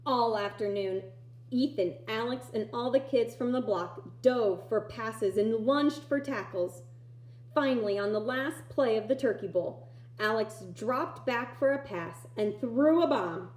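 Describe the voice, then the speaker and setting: A woman reading a children's picture book aloud in an expressive storytelling style. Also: a little high, measured pace. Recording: echoey room.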